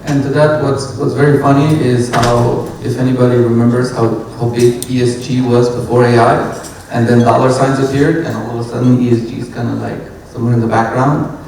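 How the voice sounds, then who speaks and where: A man's voice: mid-range voice, flat delivery, fairly quick, gravelly, a little mellow, a little hiss or hum. A man on a conference panel, making a wry remark. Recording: very echoey hall, distant mic, faint ambience.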